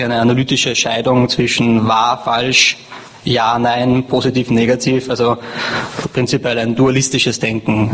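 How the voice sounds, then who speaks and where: Man with a cold lecturing, flat delivery. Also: medium pitch, rough recording. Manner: hesitant, many fillers and restarts. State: slight cold. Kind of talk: academic lecture.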